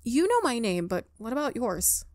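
A woman's voice: a little high, sing-song, moderate pace, darkish tone, no room sound, studio quality. A woman playing a skeptical, rattled college student in a visual novel, blunt and wary.

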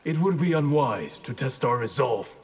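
An actor playing a stern, honorable ninja grandmaster in a video game, speaking in a terse, resolute, formal way. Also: male voice, medium pitch, expressive, normal speed, a little mellow, slightly rough. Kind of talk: video game character acting.

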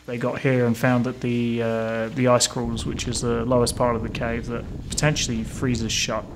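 A man at mid pitch talks through a caving trip in a steady, matter-of-fact way. A faint hiss of background noise sits under his voice. He sounds like an expedition member giving a documentary interview. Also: normal speed, rough recording. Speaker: cave diver.

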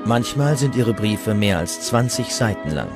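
A man's voice: lowish voice, lively intonation, unhurried. A man narrating a historical documentary from a script. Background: music.

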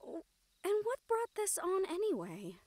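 Woman acting high-voiced, exasperated, caring siren. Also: huge pitch swings, unhurried, darkish tone, no echo, heavily acted. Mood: concerned, earnest. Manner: sighing, earnest, drops in Spanish endearments. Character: female siren-banshee, exasperated but caring partner and team mate. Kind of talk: audio roleplay acting.

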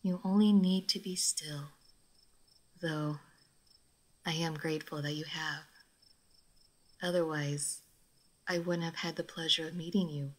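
A woman playing a gentle, melancholy old vampire lady in an intimate roleplay audio drama, speaking softly and formally. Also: deep voice, fairly even intonation, unhurried, pauses often, rough recording. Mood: pensive. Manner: soft, formal, wistful, sometimes near whispering.

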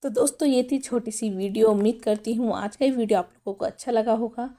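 A woman hosting a pregnancy health channel, explaining step by step and calling her viewers friends, her voice a little hoarse from a cough. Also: a little high, fairly quick, dark tone. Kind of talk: informational talk.

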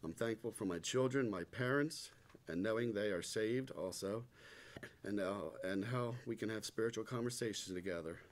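Middle aged guy reading a church testimony, kinda nervous, lowish voice, noisy recording. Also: moderate pace. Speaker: church member giving a testimony. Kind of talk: prepared thanksgiving testimony. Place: church sanctuary at a lectern. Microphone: stand microphone.

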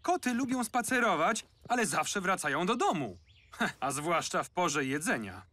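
Lively, friendly cartoon builder. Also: male voice, sits high, moderate pace, full character voice. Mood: reassuring. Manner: encouraging, reassuring. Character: friendly, calm, upbeat male builder in charge. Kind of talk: dubbed children's cartoon acting.

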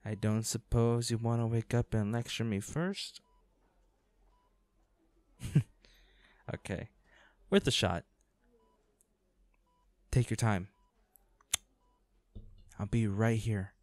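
A man playing a devoted boyfriend and weary, protective monster hunter in an audio roleplay, speaking earnestly in short, halting phrases. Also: lowish voice, a little mellow, no echo. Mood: tender, anxious.

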